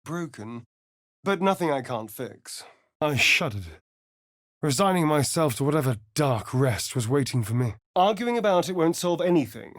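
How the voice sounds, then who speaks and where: A man's voice: sing-song, normal speed, no room sound, studio quality. A man playing a sly, sardonic vampire spawn rogue in a video game, with an arch, drawling, theatrical delivery. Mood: dramatic storytelling. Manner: arch, theatrical, drawling, with big swoops in pitch. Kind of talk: video game voice lines and narration.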